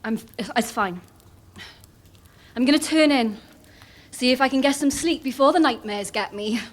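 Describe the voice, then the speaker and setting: A woman acts a haunted young woman in an audio drama. Her voice is a bit high, hesitant and wistful, as if distracted while she brushes off worry. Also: moderate pace, close to an everyday voice. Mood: tired, reassuring.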